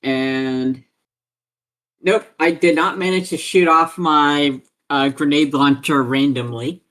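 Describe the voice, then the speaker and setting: Someone playing a tabletop rpg, slow talker, just casual chat at the table. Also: medium pitch, fairly even intonation, a bit bright. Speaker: player.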